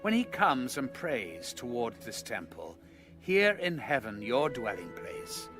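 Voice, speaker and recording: A man reads scripture as an audio narrator, in a mid-pitched voice with clear music playing behind him. His delivery is measured and solemn, following a formal script. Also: big pitch swings.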